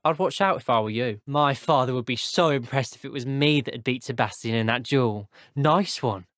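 Man voicing a teenage wizard, wary, frank, medium pitch, very dry game recording. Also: normal speed, fairly bright tone, no echo, close to an everyday voice. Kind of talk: scripted video game dialogue.

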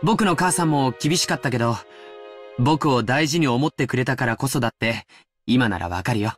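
An actor playing a gentle, earnest teenage boy in a soft, reflective way in a Japanese visual novel. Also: medium pitch, expressive, normal speed, faint music behind, close to an everyday voice. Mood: tender.

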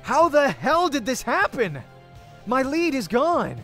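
A man's voice: very high voice, huge pitch swings, takes it slowly, pushed and bright, soft background music. A young man hosting a let's play, reading a visual novel aloud in the first person with dramatic storytelling.

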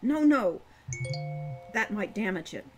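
A woman's voice: mid-range voice, animated, slow, faint music behind. A woman voicing a serious, patient older brother in an audiobook, giving restrained, businesslike instructions. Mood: stern, businesslike.

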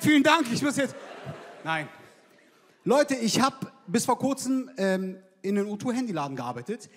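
Brash comic on a hall stage. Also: male voice, high-pitched. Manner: brash, slangy, punchy delivery. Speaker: stand-up comedian. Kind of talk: stand-up comedy set. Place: large theater stage with live audience. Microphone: handheld microphone. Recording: live hall with crowd reactions.

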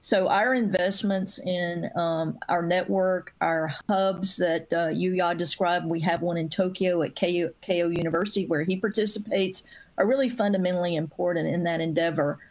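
A middle-aged woman speaking earnestly on a video-call panel, measured with some fillers. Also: fairly low pitch, steady tone, poor audio. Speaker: industry panelist. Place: home room.

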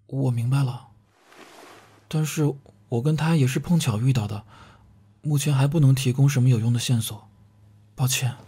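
A man acting a gentle, reserved student and shy young lover in a Chinese audio drama, with a soft, earnest manner. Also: mid-range voice, normal speed, pauses often, husky, natural voice. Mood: calm, conciliatory.

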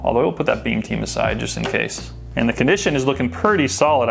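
A man with a gravelly, mid-pitched voice talks casually through opening packs of cards, thinking aloud as he goes. Faint music plays underneath. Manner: chatty, thinks aloud while sorting cards. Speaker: host opening sports card packs. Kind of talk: casual unboxing commentary.

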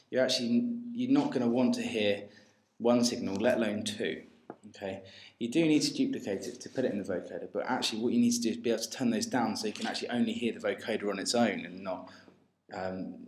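A man giving an informal, hesitant step-by-step software tutorial as a music technology tutor. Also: on the low side. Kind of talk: screencast tutorial narration. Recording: roomy, faint noise with mouse clicks.

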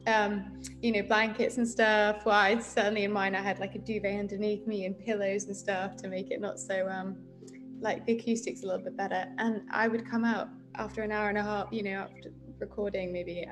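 A woman in her twenties chatting expressively and ramblingly in an interview over a video call. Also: a little high. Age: 20s. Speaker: actor being interviewed. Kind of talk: casual interview chat. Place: indoor room, video call.

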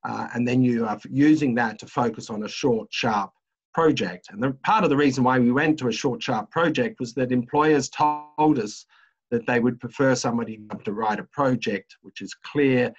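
A man's voice: mid-range voice, moderate pace, breathy edge. An older man with an Australian accent, giving a university webinar presentation from a home office over a video call, hesitant with many fillers. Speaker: university lecturer. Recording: dull lo-fi video call audio.

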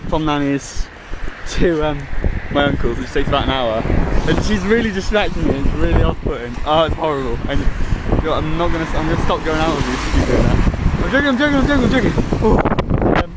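A young man with a slight Gloucestershire accent, rambling in a giggly, easily distracted way while filming himself on a dark street at night. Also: fairly high pitch, animated, a little fast. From England. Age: young adult. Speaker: vlogger. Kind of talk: rambling casual vlog. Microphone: phone held at arm's length. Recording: loud wind noise on phone mic.

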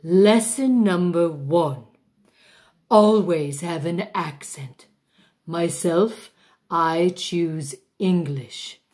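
A woman's voice: fairly low pitch, extremely slow, darkish tone. A woman playing a pompous, vain older actress who teaches a masterclass in a grand, drawling, self-important manner. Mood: grandiose. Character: pompous aging female stage diva, vain. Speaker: acting teacher in a mock masterclass. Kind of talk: comic character monologue.

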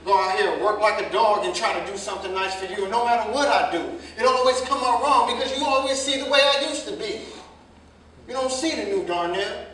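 A man's voice: high voice, brisk, a bit bright. A man acting an earnest, defensive young working man pleading his case in a staged drama reading, with emphatic, impassioned vernacular delivery. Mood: exasperated, defensive. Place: live staged reading, recorded from a distance indoors. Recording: very echoey room, distant mic, faint noise.

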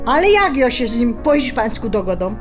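An actress playing a bossy, nagging mother with a Polish highlander dialect in an audio drama. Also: big pitch swings, a little fast, music in the background. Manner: scolding, insistent. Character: bossy, nagging highlander mother fussing over her household.

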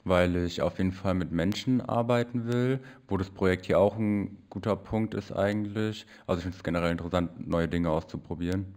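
Young man, student, twenties, deep voice, quick casual self-introduction. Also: fairly even intonation, darkish tone. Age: 20s.

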